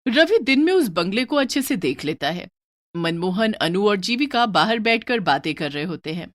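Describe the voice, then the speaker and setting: A woman's voice: medium pitch, sing-song, normal speed, no echo, studio quality. A woman narrating a scripted horror story.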